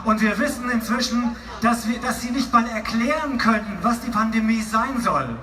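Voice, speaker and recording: Male rally interpreter. Very high, declamatory delivery over an echoing PA, with crowd and music behind. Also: moderate pace, bright, forceful tone. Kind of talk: consecutive interpreting of a political speech. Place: outdoor rally in a city, over loudspeakers. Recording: echoey distant PA, music and crowd.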